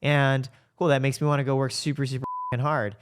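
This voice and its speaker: A man's voice: medium pitch, flat delivery, a little fast, slight background noise. A man talking candidly and casually as a podcast host, reflective and given to rhetorical questions. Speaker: show host and company co-founder.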